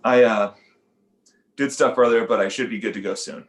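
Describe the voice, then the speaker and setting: A man playing an insecure, sarcastic failed-comedian father, defensive and sardonic, in an animated comedy read. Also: lowish voice, normal speed, lightly acted. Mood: wounded, sheepish.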